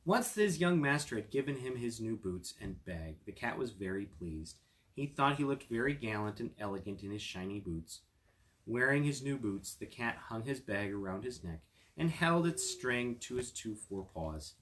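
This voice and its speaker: A man's voice: mid-range voice, sing-song, moderate pace, fairly bright tone. A man reading a fairy tale aloud as a storyteller, with casual asides to his listeners. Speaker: storyteller, attorney.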